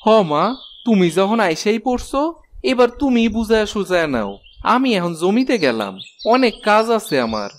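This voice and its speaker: A woman's voice: medium pitch, big pitch swings, normal speed, slight background noise, lightly acted. A woman playing an exasperated village farmer husband in a cartoon, sarcastic and complaining, with a rural Bangladeshi accent. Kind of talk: cartoon voice acting.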